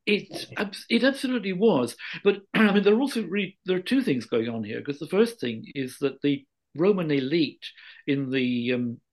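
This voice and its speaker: A man speaking as a guest expert in an interview, explaining history in a scholarly, measured way and often rephrasing himself with careful qualifications. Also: fairly high pitch, big pitch swings.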